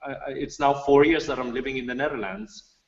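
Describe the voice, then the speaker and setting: A man's voice: mid-range voice, fairly even intonation, a little fast. A man whose first language is Persian, speaking in an online seminar discussion and hesitating and restarting often as he searches for words. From Iran. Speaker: seminar participant, artist. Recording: dull echoey room audio.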